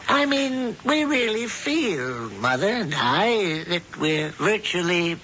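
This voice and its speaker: A hearty old blacksmith whose bright voice swings up and down as he dodges questions. It comes through a dull old radio broadcast with faint hiss. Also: high-pitched, very animated, slow pace, full character voice. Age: older. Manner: hearty, nervously evasive. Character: jovial older blacksmith, secretly scheming. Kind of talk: 1970s radio drama acting.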